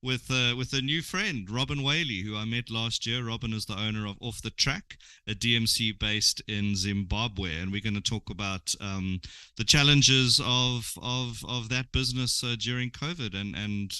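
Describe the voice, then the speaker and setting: Man, podcast host, bright tone, dry sound, friendly and halting. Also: fairly low pitch, clear, resonant tone, dry recording. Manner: friendly, hesitant with restarts. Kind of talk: interview.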